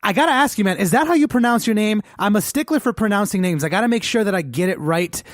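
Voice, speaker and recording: A man's voice: high-pitched, animated, quick pace, dry recording, studio quality. A man hosting a podcast, interviewing casually in an effusive, informal way. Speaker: podcast host.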